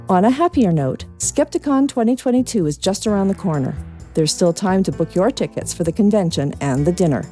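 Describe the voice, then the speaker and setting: A Canadian woman reading scripted newsletter highlights as a podcast news reader. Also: dark tone.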